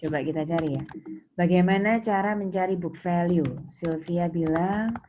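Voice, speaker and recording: Indonesian lady teacher, lowish voice, explains step by step n quizzes students, faint noise n keyboard clicks. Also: normal speed, mellow. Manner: didactic, repeats points and questions students by name. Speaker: accounting lecturer. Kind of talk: interactive online lecture. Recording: noisy, with keyboard clicks and typing.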